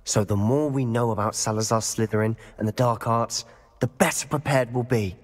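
A man's voice: medium pitch, fairly even intonation, moderate pace, natural voice. A man playing a determined, intense teenage schoolboy wizard in a video game, with an urgent, confident delivery. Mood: frustrated. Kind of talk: dramatic video game voice acting. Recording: reverberant stone hall, game music.

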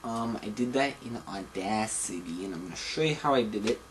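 A man's voice: fairly low pitch, fairly quick, slight background noise. A young man in his late teens chatting casually to camera as a tutorial host, rambling with lots of ums, recorded indoors by a camera mic a few feet away. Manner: relaxed, rambling, many ums. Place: indoors in front of a blue screen.

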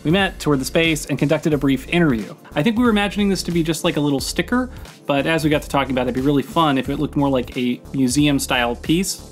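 A man in his forties explains a project close on the mic. His voice is fairly high for a man, with soft music behind. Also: quick pace. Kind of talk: explaining to camera. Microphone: studio mic with pop filter, close.

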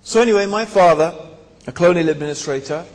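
A man tells his own story to a seated crowd in a large hall, with fillers and rhetorical asides along the way. His voice sits somewhat high for a man. A handheld mic picks him up, and the big room adds a long reverb tail. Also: quick pace. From born in Tanzania, raised in England. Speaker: speaker. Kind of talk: personal story talk to an audience. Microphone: handheld microphone.

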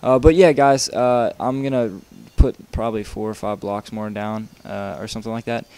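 A young man with a somewhat low voice narrates a game-building tutorial over footage. He talks loosely and casually, trailing off, restarting sentences and padding with fillers. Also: fairly even intonation, moderate pace, darkish tone, clear, resonant tone. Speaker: video game tutorial maker. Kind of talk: rambling tutorial voice-over while playing.

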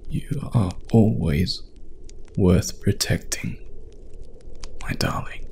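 A man playing a doomed warrior husband in an ASMR love-letter monologue, solemn and tender, slow and half-whispered. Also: low-pitched, creaky, a little mellow, pitched above the performer's own voice, full character voice. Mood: proud, loving.

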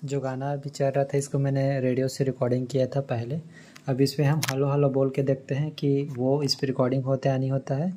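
A man's voice: mid-range voice, little pitch movement, fairly quick, dark tone, a little hiss or hum. A man giving a casual demonstration as a vlogger. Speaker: vlogger demonstrating old electronics.

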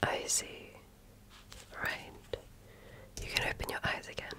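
A woman whispering softly and breathily in an ASMR medical exam roleplay, speaking as a gentle, attentive and reassuring clinician. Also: slow pace. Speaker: ASMR creator playing an alien medical examiner.

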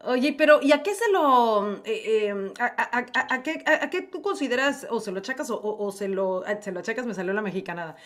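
Playful woman host, slightly high voice. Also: animated, brisk, hardly any pauses. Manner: playful, teasing, laughs easily. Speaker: show host, interviewer. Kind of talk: casual interview questions. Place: studio with headset-style mic setup. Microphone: studio microphone in front.

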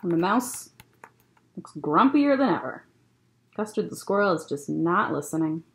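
A woman's voice: fairly low pitch, expressive, moderate pace. A young woman hosting a children's story time, reading a picture book aloud expressively with casual asides. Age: young adult. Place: library room lined with bookshelves, indoors.

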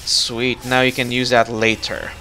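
Bright-voiced man chatting while gaming. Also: medium pitch, flat delivery, unhurried, slight background noise, poor audio. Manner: jokey, thinking aloud. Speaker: gamer leading a co-op session. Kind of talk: casual gameplay chat.

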